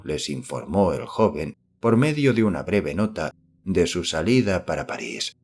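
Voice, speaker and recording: A man reading a literary horror story as an audiobook narrator, in measured, formal scripted narration. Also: fairly low pitch, darkish tone.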